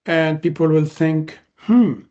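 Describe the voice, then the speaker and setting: A man from Lebanon, Arabic his first language, hosts a podcast chat in a voice pitched somewhat high. He talks in measured phrases, often pausing on "uh" as he gathers his thoughts. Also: dark tone, lo-fi sound. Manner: measured, frequent "uh" pauses. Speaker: podcast host. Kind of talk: casual podcast conversation.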